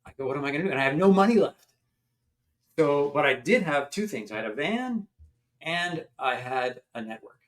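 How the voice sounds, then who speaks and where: An older man from Canada, a company founder telling stories in a chatty, animated way during an interview. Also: a little high, moderate pace. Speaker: founder and guest. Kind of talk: storytelling in an interview.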